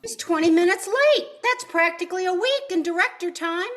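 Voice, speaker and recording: High, animated, bossy woman, acted by a woman. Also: a little fast, slight background noise. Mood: impatient, rousing. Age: older. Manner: dramatic, bossy. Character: assertive, ambitious artistic wife craving a bigger part. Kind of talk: amateur radio comedy acting.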